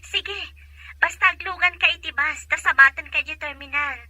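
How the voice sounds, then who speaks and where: Helpful young woman friend, super high sharp dry voice, phone chat in a drama. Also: moderate pace. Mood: friendly. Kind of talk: Ilocano drama acting.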